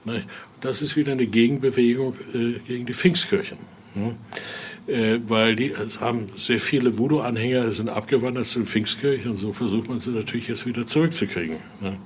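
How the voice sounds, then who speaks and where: A man giving an informal, halting explanation as a guide, often ending phrases with little questioning tags. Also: medium pitch, a little hiss or hum. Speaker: interviewee, exhibit guide and Voodoo expert. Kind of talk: informal spoken explanation during a guided tour.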